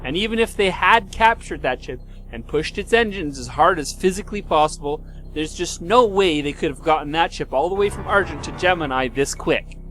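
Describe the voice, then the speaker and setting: A man's voice: on the high side, expressive, a little fast, few pauses, fairly bright tone, slight background noise, poor audio. A man playing an earnest, disbelieving fighter pilot in a sci-fi audio drama, insistent and colloquial.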